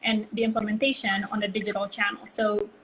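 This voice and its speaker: A woman's voice: mid-range voice, level tone, fairly quick, a bit bright, slight background noise, rough recording. A woman, chatting as an interview guest and telling stories with many "like" and "um" fillers over a video call. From Bali, Indonesia. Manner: chatty storytelling, frequent "like" and "um". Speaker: guest, brand consultancy co-founder. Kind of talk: casual interview chat.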